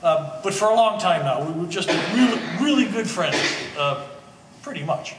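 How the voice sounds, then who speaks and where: A man's voice: high-pitched, animated, normal speed. A man playing an easygoing old family friend in a stage play, with a wry, hesitant, joking manner. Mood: sheepish. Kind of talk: one-act stage play performance. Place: gallery stage performance, indoors. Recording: echoey hall, distant mic, faint noise.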